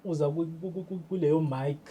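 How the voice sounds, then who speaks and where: A man's voice: on the high side, fairly quick, dark tone, slight background noise. A man with a South African accent explaining things informally as a radio drama technician, switching often into English. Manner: mixes English into Zulu. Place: indoor radio studio.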